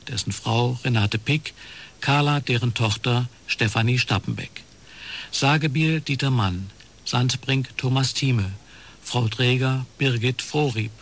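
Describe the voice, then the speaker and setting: A man's voice: mid-range voice, a little slow, fairly bright tone. A man reading the closing credits of a radio play as a formal scripted announcement.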